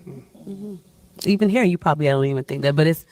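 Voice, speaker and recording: A woman's voice: sits low, fairly quick, a little mellow, no echo. A woman on a dating show describing herself in a casual interview.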